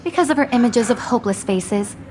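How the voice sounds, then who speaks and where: A woman's voice: a little high, lively intonation, fairly quick, warm tone, lightly acted. A woman playing a smug, know-it-all mean schoolgirl with affected, precise speech in a video game. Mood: self-assured.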